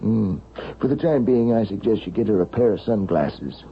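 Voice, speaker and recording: An actor playing a worried, earnest doctor in a 1970s radio drama, halting and emphatic. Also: male voice, fairly low pitch, expressive. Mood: concerned, pondering. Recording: muffled, hissy vintage radio.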